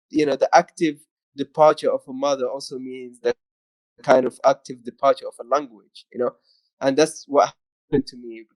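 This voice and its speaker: A man's voice: mid-range voice, a little mellow, no echo, low-quality recording. A man from Eritrea, an author, talking in a reflective conversation and often saying "you know". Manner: thoughtful, frequent "you know".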